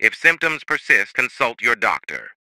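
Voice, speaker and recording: A man's voice: mid-range voice, sing-song, unhurried, pushed and bright, dry recording. A man with a Filipino accent reading a scripted radio commercial as an announcer. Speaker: advertisement voice-over announcer.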